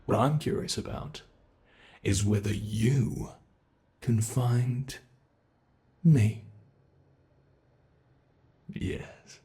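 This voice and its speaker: A British man voicing a teasing, predatory male monster who holds the listener captive, speaking slowly and mockingly in an ASMR horror roleplay. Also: mid-range voice, mellow. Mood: taunting. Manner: slow, taunting, toying with the listener.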